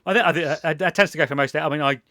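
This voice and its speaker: A man's voice: on the high side, very fast, pushed and bright, no echo, clean studio sound. A man from England hosting a casual chat, rambling with frequent restarts and laughter. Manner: rambling, self-interrupting, laughs often. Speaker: podcast host.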